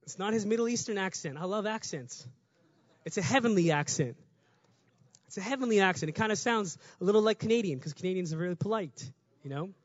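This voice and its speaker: A man preaching a lively, conversational sermon, talking fast and rising to shouts at the climax. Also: high voice, no room sound, highs cut off.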